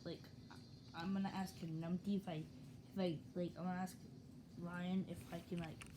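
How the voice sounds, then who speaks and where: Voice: mid-range voice, flat delivery, normal speed. A speaker chatting casually and informally to camera in slangy, repetitive phrases, recorded on a handheld device in a small room. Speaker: hobby video maker. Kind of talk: casual chat showing toy trains to camera. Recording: noisy amateur camera recording.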